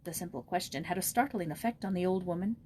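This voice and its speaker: Mellow woman narrator reading a storybook. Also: lowish voice, fast talker. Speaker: audiobook narrator. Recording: noticeable background noise.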